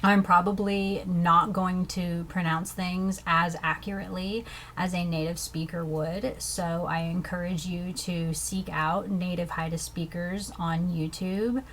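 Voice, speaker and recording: A young woman from the States, teaching language phrases slowly and repeating each one before translating it. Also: on the low side, steady tone, a bit bright, a little hiss or hum. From southern United States. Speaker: language learner teaching vocabulary. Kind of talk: slow, careful language lesson with repeated phrases.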